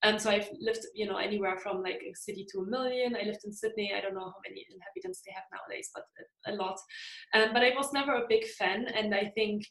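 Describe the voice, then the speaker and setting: A woman hosts a relaxed interview and talks through her ideas at length, pausing on fillers as she goes. Her voice sits at a middle pitch. The room around her rings with a clear echo. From Germany. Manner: thoughtful, rambling with fillers. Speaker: podcast host. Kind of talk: casual interview chat.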